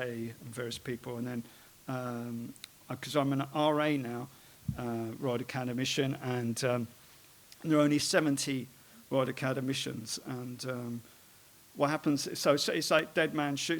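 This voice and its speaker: A man at middle pitch talks about his art in a loose, unscripted way. He stops and restarts often, filling gaps with ums and uhs as he wanders from point to point. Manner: rambling, halting, many fillers and restarts. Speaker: artist giving a talk on his work.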